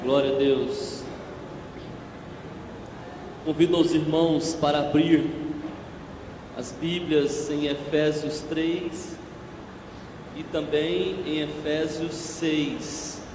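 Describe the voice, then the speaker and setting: A hoarse man preaching a church sermon, slow and emphatic, growing more forceful as he goes. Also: fairly high pitch, fairly even intonation, background noise. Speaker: evangelist preacher. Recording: echoey church with long reverb.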